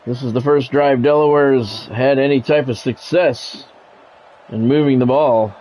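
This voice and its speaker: A man's voice: medium pitch, steady tone, unhurried, a little mellow, slight background noise, rough recording. A man doing radio-style play-by-play of a football video game on a livestream, with swearing and greetings to viewers.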